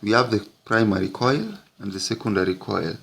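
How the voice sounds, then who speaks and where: A man teaching physics, narrating an explanatory lesson in deliberate, halting phrases with frequent breaks. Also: lowish voice, gravelly, fairly bright tone, slightly rough.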